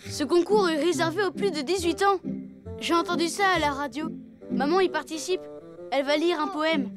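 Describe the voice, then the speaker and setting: A woman's voice: very high voice, normal speed, over music. A woman voicing a curious young elephant boy in a children's cartoon, chirpy and inquisitive.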